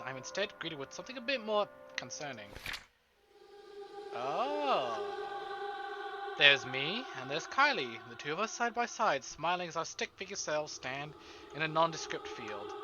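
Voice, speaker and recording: An expressive man with a fairly high voice, reading and chatting as a game streamer. His delivery is theatrical, and the audio is a bit muffled with clear music underneath. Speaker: streamer reading a visual novel aloud. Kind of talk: dramatic story narration and casual stream chat. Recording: dull band-limited stream, music behind.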